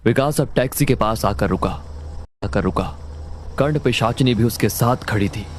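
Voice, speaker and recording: A man's voice: medium pitch, normal speed, a little hiss or hum. A man narrating a scripted horror story as a storyteller. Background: loud background music.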